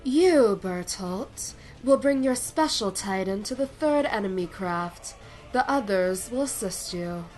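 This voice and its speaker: A woman's voice: mid-range voice, expressive, a little slow, lightly acted. A woman playing a cold, manipulative military commander in a sci-fi drama, speaking with controlled authority. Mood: commanding. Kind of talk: dramatic sci-fi audio acting. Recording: loud music bed over the voice.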